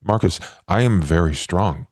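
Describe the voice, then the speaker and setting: A man's voice: deep voice, measured pace, a little mellow, no echo, studio quality. A man playing a naive, blunt vampire with stony skin in a tabletop game, speaking in stiff, plain, literal phrases. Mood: earnest, solemn. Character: naive, blunt male stone-skinned vampire, earnest and literal.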